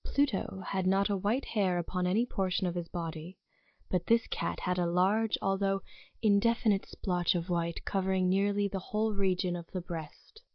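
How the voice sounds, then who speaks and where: A woman playing the composed narrator of a gothic audio drama, telling the tale in a measured, formal storytelling manner. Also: mid-range voice, steady tone, no echo, muffled recording, lightly acted. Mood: measured, mysterious.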